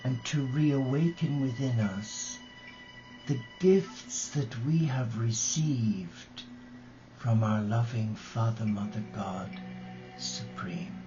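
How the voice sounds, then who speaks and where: A man leads a spiritual meditation in a gentle, reverent manner. He speaks very slowly, in short phrases separated by long pauses. The recording is slightly dull and lo-fi, with meditative music clearly audible behind his voice. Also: mid-range voice. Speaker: religious brother leading a prayer vigil. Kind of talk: guided meditation and prayer.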